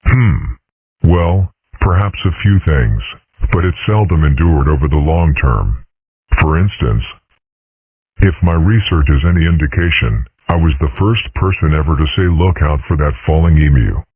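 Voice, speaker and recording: Man as very deep, deadpan cartoon dad. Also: husky, exaggerated voice. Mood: calm, scholarly. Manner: measured, deadpan, scholarly. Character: placid, deadpan philosophical cartoon father. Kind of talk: amateur comic-strip dub. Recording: muffled, radio-like lo-fi audio.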